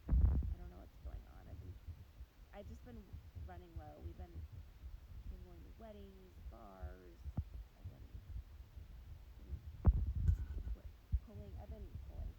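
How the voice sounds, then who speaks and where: A woman hosting a casual livestream, chatty and informal, her voice low and strained. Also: very animated, a little slow, long pauses. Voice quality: gravelly. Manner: chatty, informal, many ums and likes. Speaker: host. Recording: loud background noise.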